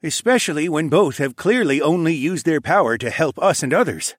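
A man's voice: on the high side, lively intonation, a little fast, dry recording, clean studio sound, voice pushed up. A man voicing a stern king and father who presses his questions in an audiobook. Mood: measured. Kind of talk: audiobook drama dialogue.

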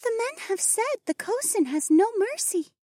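A man voicing a soft-spoken young woman companion in an audiobook, gently wry, in a murmuring manner. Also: very high-pitched, very animated, moderate pace, no room sound, clean studio sound, voice pushed up. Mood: gentle, unsure. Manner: murmuring, muted. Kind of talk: audiobook character acting.

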